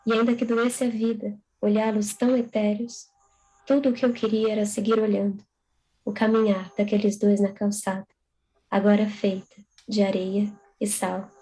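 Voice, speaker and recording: A young woman writer from Brazil speaking over a video call. Her voice stays flat and level, with little rise or fall, whether she reads her prose or answers questions. The pitch sits in a middle range. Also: normal speed, husky, poor audio. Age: young adult. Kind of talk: reading own prose aloud, then conversation.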